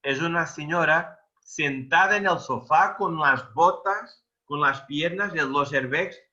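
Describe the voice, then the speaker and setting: A man with a Portuguese accent gives an expert explanation, hammering points home with repetition and searching for words now and then. His voice sounds very bright and forceful, and the audio is dull and lo-fi. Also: fairly high pitch, a little slow. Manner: emphatic, repeats words for stress, hesitant over vocabulary. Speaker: electro-aesthetics expert guest. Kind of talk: technical explanation in a video interview.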